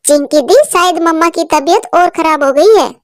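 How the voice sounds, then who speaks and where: A woman voicing a small, excitable boy bird in a cartoon story, speaking in a high, pleading, tearful voice. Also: normal speed, no room sound, clean studio sound, close to an everyday voice. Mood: childlike.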